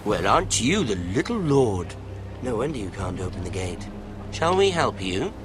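An actor playing a menacing soldier leader in a fantasy video game, mocking and sarcastic in manner. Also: male voice, fairly low pitch, sing-song, moderate pace. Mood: mocking menace. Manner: sneering, sarcastic. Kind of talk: dramatic video game acting. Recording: music playing behind the voice.

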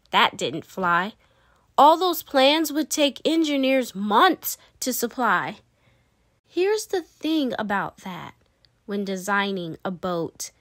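A woman's voice: medium pitch, a bit bright, dry recording, studio quality. A woman reading a children's picture book aloud expressively, with dramatic emphasis and playful asides. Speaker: read-aloud storyteller.

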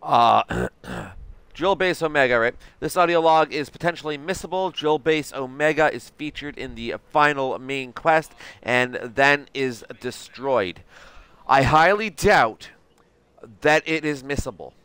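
A man in his thirties doing casual livestream game commentary, halting as he thinks aloud and reads notes. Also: mid-range voice, fairly bright tone, clean tone, dry recording. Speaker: game streamer.

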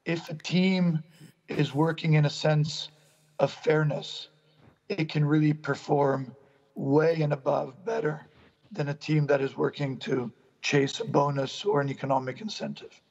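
A middle-aged man joining a panel by video call, his voice husky and worn as he is losing it, speaking deliberately. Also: little pitch movement, a little mellow. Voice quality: husky, low. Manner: deliberate, repeats words. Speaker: company executive, remote panelist. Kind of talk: conference panel answer. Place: room at home, played into a hall. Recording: dull video call in a reverberant hall.

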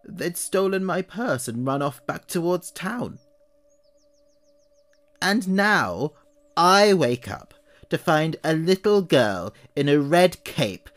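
A man voicing an old witch who complains about her neighbors at a slow, chatty pace. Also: on the high side, pitched above the performer's own voice. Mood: indignant. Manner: slow, chatty complaining monologue rising to anger. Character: old witch woman, wary, aggrieved, self-pitying. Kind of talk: audio horror story reading.

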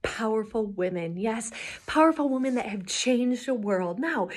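Woman in her thirties, very high voice, children's story time host, expressive, encouraging, speaking to little kids. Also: moderate pace. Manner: animated, encouraging, exaggeratedly expressive. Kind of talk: children's story time, addressing young viewers. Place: small indoor room, classroom-like.

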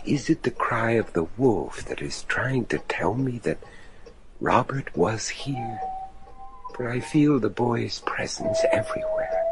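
A man playing an earnest, probing middle-aged judge searching for his son in a gothic horror radio drama, speaking formally with deliberate hesitations. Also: deep voice, noisy background, heavily acted. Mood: brooding, uneasy.

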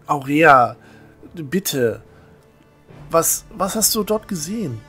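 A man plays a stocky young temple novice in a fantasy radio play. He is earnest and agitated, stumbling over words at an unhurried pace, with music playing behind him. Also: fairly high pitch, very animated, sharp tone, breathy edge, exaggerated voice. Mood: worried, urgent. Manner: agitated, stammering repetitions. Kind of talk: fan-made fantasy audio drama acting.

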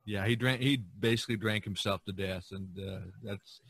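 Bright, husky-voiced man hosting a podcast. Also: fairly low pitch, fairly even intonation, normal speed, no echo. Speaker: podcast host. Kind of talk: casual, rambling art discussion.

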